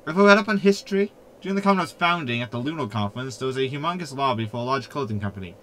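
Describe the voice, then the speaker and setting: A man's voice: medium pitch, animated, very fast, a bit bright, slight background noise, close to an everyday voice. A man playing a sardonic, know-it-all hologram in a sci-fi sitcom audio drama, pompous and wordy. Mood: pedantic.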